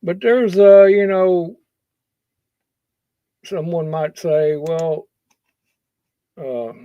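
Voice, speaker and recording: A man talking casually and haltingly as a livestream host, in a folksy, unscripted way. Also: a little high, steady tone, clear, resonant tone. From Kentucky, US. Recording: dull lo-fi sound, roomy echo.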